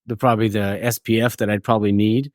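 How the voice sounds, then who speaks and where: A man's voice: on the low side, little pitch movement, quick pace, no echo, clean studio sound. A man hosting a podcast, chatting casually and joking through a beer tasting, swearing now and then. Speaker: podcast host.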